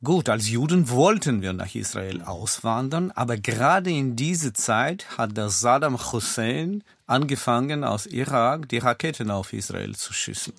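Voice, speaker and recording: A man's voice: medium pitch, lively intonation, a little slow, vocal fry, hardly any pauses, a bit bright, no room sound, studio quality. Ukrainian-accented older man recounting his life. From Kyiv, Ukraine. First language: not German. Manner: explanatory, non-native grammar. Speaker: podcast guest. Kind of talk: personal recollection in an interview. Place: studio.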